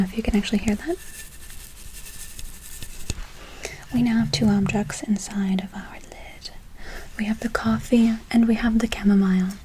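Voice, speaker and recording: A woman playing a doting, whimsical forest witch in an ASMR roleplay, cooing endearments and gently reassuring her listener. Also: medium pitch, background noise, natural voice. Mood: whimsical, savoring.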